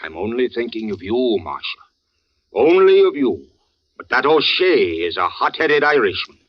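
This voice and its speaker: An old theatrical patriarch in a vintage radio drama, proud and devoted. His delivery is grandiloquent and pleading, with big swings of pitch. The recording is dull and aged. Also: sing-song, normal speed. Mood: earnest pleading. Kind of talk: 1940s radio drama acting.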